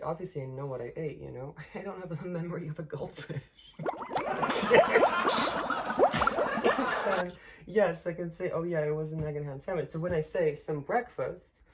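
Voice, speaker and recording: A woman's voice: deep voice, darkish tone. A young woman from Poland, casually explaining her thesis with many fillers, restarts and laughs. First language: Polish. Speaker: linguistics student.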